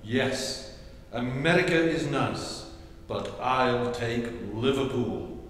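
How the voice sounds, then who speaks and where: An actor playing a formal, pompous butler in a staged 1940s radio comedy. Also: male voice, mid-range voice, takes it slowly, a bit bright. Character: pompous English butler, wordy. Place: theatre stage. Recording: echoey theatre, far from mic, faint hiss.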